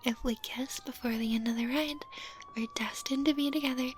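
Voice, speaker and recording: A woman's voice: sits high, steady tone, fairly quick, slight background noise, natural voice. A woman playing a clingy, obsessive, unhinged yandere girl in an ASMR roleplay, dramatic and pleading, drawing out her words. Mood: sweetly clingy.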